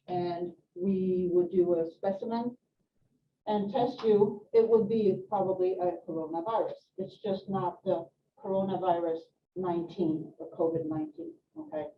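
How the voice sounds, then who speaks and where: A woman's voice: fairly low pitch, unhurried. A middle-aged woman, a nurse educator giving a chatty, informal talk with many fillers to a live audience in a hospital meeting room. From Sweden and France. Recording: echoey room, distant mic, dull sound, faint noise.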